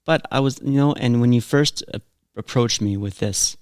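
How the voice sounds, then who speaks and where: A man's voice: mid-range voice, moderate pace, no echo, studio quality. A man chatting casually as co-host, thinking aloud as he rambles and often restarting his sentences. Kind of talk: casual conversation.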